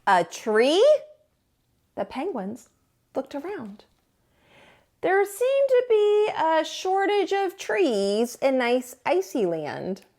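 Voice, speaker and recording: A woman narrating a children's storybook as a storytime teacher, in an expressive read-aloud style. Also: high voice, takes it slowly, pauses often.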